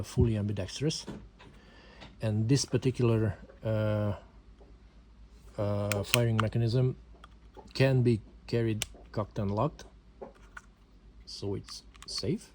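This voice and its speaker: Hesitant Hungarian-accented man, mellow voice. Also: on the low side, slight background noise. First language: not English. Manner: hesitant, many fillers, explaining at home. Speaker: amateur gun reviewer. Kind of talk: casual amateur video talk. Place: home.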